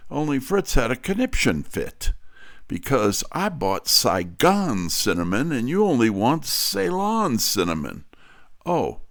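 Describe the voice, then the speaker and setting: A man plays a grumbling, wisecracking private detective's assistant in an audio drama. The voice is middle-pitched with plenty of fry and animated movement. His delivery is sardonic. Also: takes it slowly, full character voice. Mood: sarcastic.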